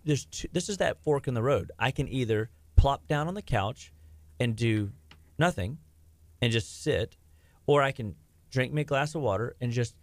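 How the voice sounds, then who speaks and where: Middle-aged guy, health practitioner, rambly with lots of restarts, mid-pitched, dry close sound. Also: lively intonation, normal speed, no room sound. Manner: rambling, many restarts and fillers. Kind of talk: casual podcast chat.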